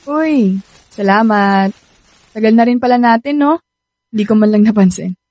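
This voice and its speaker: A woman playing a hesitant, soft-hearted young woman in a Filipino radio romance, speaking with a Filipino accent. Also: on the high side, normal speed, dry recording, lightly acted. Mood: distracted, uneasy. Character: young woman torn between boyfriend and friend. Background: background music.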